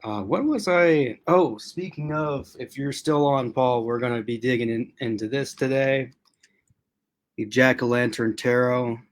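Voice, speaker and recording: A man hosting a relaxed livestream, talking straight to his chat viewers in a loose, rambling way. His voice is strained from being used a lot, and he stops often to clear his throat. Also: mid-range voice, a little slow. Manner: chatty and rambling, addressing chat commenters. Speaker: host.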